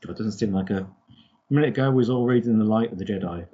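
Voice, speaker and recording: Man, podcast host, rough husky tone, hesitant phrasing, casual discussion, muffled lo-fi audio. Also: fairly low pitch, fast. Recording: dull lo-fi audio.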